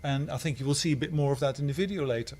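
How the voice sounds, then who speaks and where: A man from the Netherlands, a head of school, answering questions on a livestream in a halting way with many restarts. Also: medium pitch, darkish tone, a little hiss or hum.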